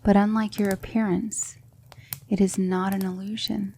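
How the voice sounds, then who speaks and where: A woman playing a gentle, patient demonic magic mentor in an ASMR roleplay, speaking in measured, hushed instruction that slips into whispers. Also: mid-range voice, level tone, husky. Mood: calm, reassuring. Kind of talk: ASMR roleplay, soft speech slipping into whispers.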